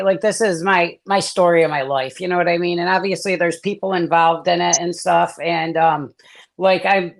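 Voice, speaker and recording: A man's voice: sits high, vocal fry. A man born in South Korea and raised in the US, a guest on a live stream, telling his life story in a casual, rambling way with lots of fillers and restarts.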